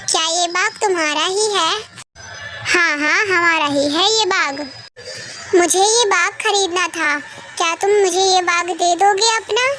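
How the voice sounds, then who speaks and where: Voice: very high voice, unhurried, bright, forceful tone, faint music behind, exaggerated voice. A performer voicing a small cartoon bird in a children's story, with a simple, emphatic delivery. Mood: eager. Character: high-pitched cartoon birds: parrot, crow wife, sparrow. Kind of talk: Hindi animated children's story dialogue.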